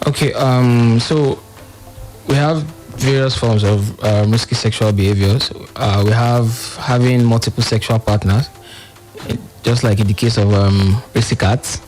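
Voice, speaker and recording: A man with a Nigerian accent speaking as a radio panel guest, halting and searching for his words as he explains. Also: medium pitch, fairly even intonation, darkish tone, faint music behind.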